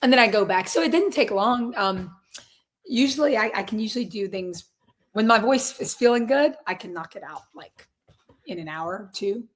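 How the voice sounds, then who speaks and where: A woman in her twenties with a raspy, hoarse voice, chatting casually as a guest musician from a closet at her grandparents' house. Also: mid-range voice, lively intonation, moderate pace. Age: 20s. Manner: upbeat, laughs often, many fillers. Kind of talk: casual interview chat.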